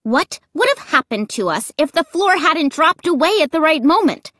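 An anxious child hero of a scary storybook , acted by a woman, skeptical and emphatic. The voice runs high and swoops around in urgent questioning. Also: sing-song, drawn-out words, sharp tone, no room sound, clean studio sound, heavily acted. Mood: worried, demanding.

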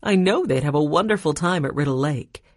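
A woman voicing a warm, wistful woman who is a family friend, in a children's audiobook. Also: sits low, expressive, fairly quick, dry recording, studio quality.

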